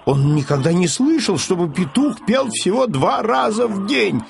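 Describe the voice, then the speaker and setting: A man's voice: high voice, big pitch swings, moderate pace, creaky. A man reading a children's story audiobook as its narrator.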